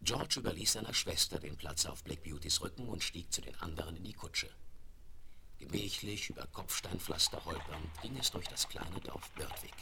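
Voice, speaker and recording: A man as a calm, gentle narrator with a gravelly voice, reading scripted storytelling for a 1970s German radio play. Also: low-pitched, normal speed. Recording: crackly 1970s vinyl rip with clicks.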